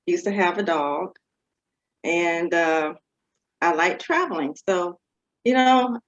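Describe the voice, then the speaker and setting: A woman physician introducing herself on an online panel, halting, with many fillers and frequent laughs. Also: fairly low pitch, muffled recording. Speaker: physician guest.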